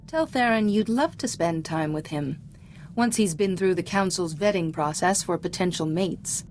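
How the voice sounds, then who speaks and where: An actress playing a calm, principled female Jedi mentor in a video game, speaking in a measured, formal, instructive way. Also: on the low side, slight background noise, close to an everyday voice. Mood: calm, helpful. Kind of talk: scripted video game voice acting.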